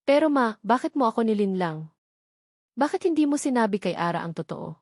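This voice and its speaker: A woman's voice: a little high, very animated, brisk, a little mellow, no room sound, clean studio sound. A Filipino woman narrator voicing a desperate, determined grown son and father, urgent and demanding, in a dramatic story.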